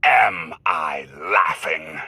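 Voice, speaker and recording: An actor playing a cold, menacing tyrant in a video game, terse and commanding, with a harsh raspy voice. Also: male voice, very deep voice, animated, extremely slow, sharp tone, no room sound, raised voice, full character voice. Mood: cold anger. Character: cold, menacing male tyrant commanding knights to fight to the death.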